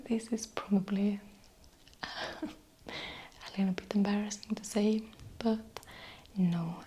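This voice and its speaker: A young woman with a Swedish accent whispering softly in an ASMR question-and-answer video, unhurried, with small hesitations. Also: medium pitch, slight background noise. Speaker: ASMR creator.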